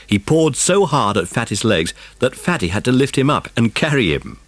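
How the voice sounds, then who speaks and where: A man's voice: medium pitch, big pitch swings, moderate pace, dry recording. A man narrating a dramatised children's storybook. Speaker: audiobook narrator.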